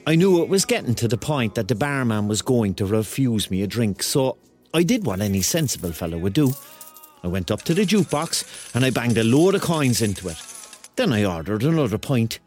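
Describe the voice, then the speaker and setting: An actor playing a rambling, excitable thirty-year-old man with a Cork accent, telling his story colloquially in a radio drama. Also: medium pitch, fairly quick, faint music behind, lightly acted. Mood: wry, confiding. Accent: Cork Irish. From Cork, Ireland. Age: 30s. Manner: colloquial, digressive, conversational. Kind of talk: first-person radio drama narration and dialogue.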